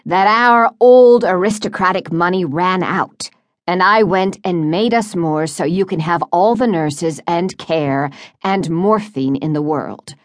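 A woman's voice: fairly low pitch, measured pace, no room sound, clean studio sound, voice pushed up, close to an everyday voice. A woman voicing a wealthy, impatient grown son with a curt manner in an audiobook. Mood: angry. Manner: curt, exasperated.

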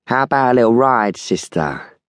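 A man performs a young street tough from an audiobook thriller, sleazy and mocking. The voice is a nasal whine, lifted in pitch, with a phony American twang. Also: measured pace, no echo, studio quality, natural voice. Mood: sneering, taunting. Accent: put-on American. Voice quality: nasal, whining.

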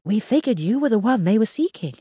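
A woman voicing a soft-spoken, kindly farmer's wife. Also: on the high side, very animated, moderate pace, no echo, clean studio sound, voice pushed down. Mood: gentle.

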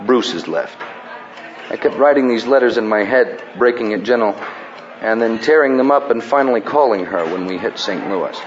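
An actor playing a wry, dry-witted ballplayer who also narrates, in deadpan, formal phrasing. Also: male voice, medium pitch, normal speed, highs cut off, a little hiss or hum, natural voice. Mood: narrating. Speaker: narrator and character. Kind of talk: radio drama acting.

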